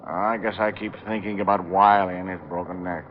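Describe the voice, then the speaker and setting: An American actor playing a wry, watchful private detective who probes with casual small talk in vintage radio drama style. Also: male voice, sits low, fairly even intonation, a little fast, close to an everyday voice. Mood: wary needling. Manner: hesitant, probing small talk. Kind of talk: 1940s-50s American radio drama acting. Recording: muffled, noisy old radio recording.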